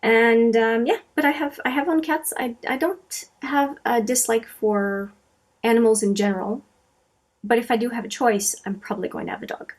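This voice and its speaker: A woman's voice: on the high side, fairly even intonation, normal speed. A middle-aged woman from Canada, whose first language is French, chatting casually to camera as she answers viewer questions, with many fillers and restarts. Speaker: makeup channel host.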